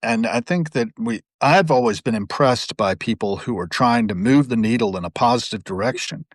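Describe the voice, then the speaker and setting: A man's voice: medium pitch, moderate pace, no echo, studio quality. A middle-aged man hosting a casual live video chat, talking in long, rambling, digressive stretches. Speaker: host. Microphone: video call.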